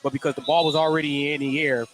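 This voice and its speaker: A man's voice: fairly high pitch, little pitch movement, fast, background noise. A man, the producer of a live sports broadcast, stepping in to explain a ruling.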